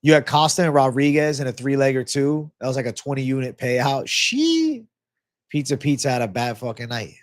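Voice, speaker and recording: A man with a mid-pitched voice that sounds a bit clogged from a stuffy nose hosts a casual livestream. He talks in a loose, slangy way, drops the odd curse and calls his viewers bro as he answers their comments. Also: sing-song, moderate pace. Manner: laid-back, slangy, swears freely, calls viewers bro. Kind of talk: casual livestream Q&A on fight betting.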